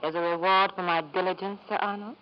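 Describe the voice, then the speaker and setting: An actress playing a cool, clever secretary in a radio drama, poised, dry and formal. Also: female voice, lowish voice, fairly even intonation, fast talker, close to an everyday voice. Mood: dryly flirtatious. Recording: dull, faintly hissy 1950s radio recording.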